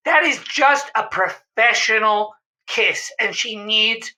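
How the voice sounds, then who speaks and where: A woman's voice: on the high side, slow, sharp tone. A woman playing a desperate, defensive family-business owner who pleads melodramatically on a comedy radio call-in. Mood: desperate, insistent. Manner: pleading, insistent, prone to melodramatic outbursts. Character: desperate, defensive mistletoe-shop owner, spouse of a fed-up wife.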